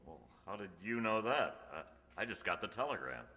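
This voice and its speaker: A man's voice: lowish voice, sing-song, moderate pace, pushed and bright. A man playing an earnest male schoolteacher in an old-time radio sitcom, speaking politely. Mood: bashful. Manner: hesitant, polite. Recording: noisy hall recording, long reverb, clicks.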